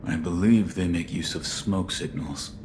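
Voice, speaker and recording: A terse, deep-voiced shinobi. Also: male voice, fairly even intonation, unhurried, background noise. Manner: terse, clipped replies. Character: terse young male shinobi. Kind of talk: video game cutscene acting.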